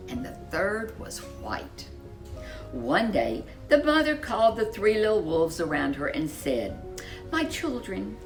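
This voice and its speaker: A woman reading a children's story aloud as host, with expressive narration and dramatic, drawn-out emphasis on key words. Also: medium pitch.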